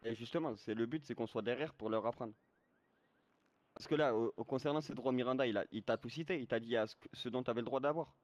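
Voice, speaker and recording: A man speaks quickly at a medium pitch, arguing his point in an online role-play game chat. He lectures like a server moderator, spelling out the rules and checking that the listener follows. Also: dry recording, dull audio. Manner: lecturing, repeatedly checks he is understood.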